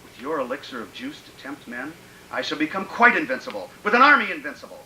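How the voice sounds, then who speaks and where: Megalomaniac male villain, radio play. Highish, theatrical, menacing; echoey recording with some hiss. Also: big pitch swings, fast talker, creaky, pushed and bright, heavily acted. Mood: smooth, scheming. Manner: grandiose, theatrical declaiming. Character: megalomaniac male dictator's schemer, grandiose and menacing. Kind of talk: old-time radio drama acting.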